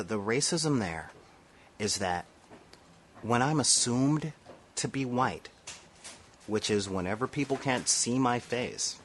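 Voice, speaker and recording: A man with a nasal voice and a lowish pitch speaks in an emphatic monologue to camera, pressing his points with rhetorical questions. A faint hiss of noise sits under the recording. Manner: emphatic, rhetorical asides, frequent "all right?". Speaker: vlogger.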